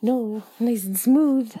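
A woman talking in an intimate, playful ASMR style close to the mic, soft and breathy, often slipping toward a whisper. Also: a little high. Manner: giggly, playful, chatty. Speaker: audio performer addressing the listener. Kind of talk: intimate ASMR, rambling and affectionate.

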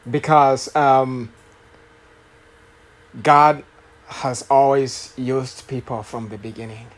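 A man in his late thirties with a Rwandan accent gives a guest sermon. He speaks in a mid-range voice, halting often with fillers and restarts as he shares his testimony. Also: mid-range voice, fairly even intonation, rough recording. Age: late 30s. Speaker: guest preacher.

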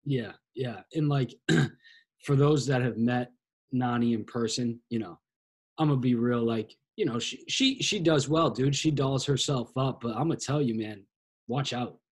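A man's voice: mid-range voice, unhurried, slightly rough. A man hosting a casual podcast interview, laid-back and easygoing, often saying "dude". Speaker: podcast host.

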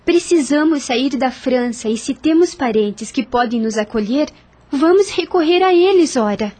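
An actress with a Brazilian accent playing a gentle, sensible woman who calms others in a radio drama. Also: high-pitched, normal speed. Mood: practical, encouraging. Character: gentle, sensible woman calming her frightened companions.

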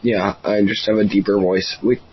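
A man's voice: lowish voice, steady tone, normal speed. A man co-hosting a casual podcast with friends, joking and laughing often. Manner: giggly, jokey, laughs often. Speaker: podcast co-host. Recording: muffled lo-fi with clear background noise.

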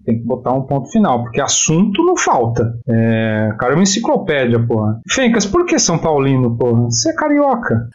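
A man's voice: mid-range voice, huge pitch swings, a little fast, few pauses, a little mellow, lo-fi sound. A man in his forties hosting a podcast, chatting casually in a loose, slangy way and swearing freely. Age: 40s. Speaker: podcast host. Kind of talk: casual chat.

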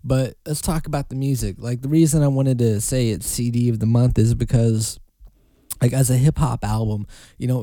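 A man's voice: mid-range voice, dark tone, dry recording. A young man hosting a casual solo podcast, talking in a loose, rambling way and swearing freely. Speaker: podcast host.

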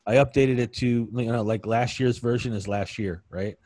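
A plain-spoken man from New York City chats casually as a co-host. He ends his points by trailing off, and his voice sits at a middle pitch. The recording is dry, with no room sound. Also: steady tone, normal speed, darkish tone, no room sound. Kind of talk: casual chat.

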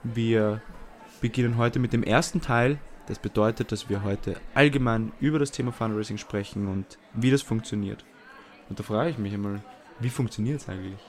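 A man's voice: fairly low pitch, normal speed. Casual Viennese man co-hosting a podcast. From Vienna, Austria. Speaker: podcast co-host.

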